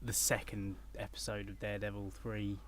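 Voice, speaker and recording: A man's voice: fairly low pitch, flat delivery, normal speed, noisy background. A man co-hosting a podcast in casual, conversational chat.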